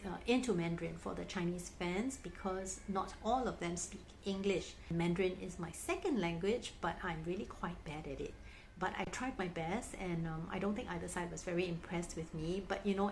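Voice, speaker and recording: A woman's voice: fairly low pitch, slight background noise. A woman narrating her own vlog in a chatty, gushing fan manner. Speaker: vlogger. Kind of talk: casual narration.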